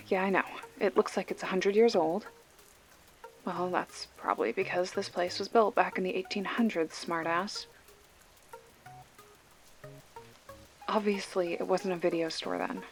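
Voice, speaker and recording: A woman's voice: mid-range voice, lightly acted. A woman playing a sarcastic, foul-mouthed young video store clerk with a protective streak, talking casually and wryly in a one-sided phone call for an ASMR roleplay. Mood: sarcastic, exasperated. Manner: wry, snarky, casual with swearing.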